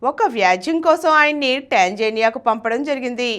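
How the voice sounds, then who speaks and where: A woman with a Telugu accent presenting scripted biographical narration in an expressive, emphatic storytelling manner. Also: on the high side, a little fast. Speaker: channel presenter reading a biography.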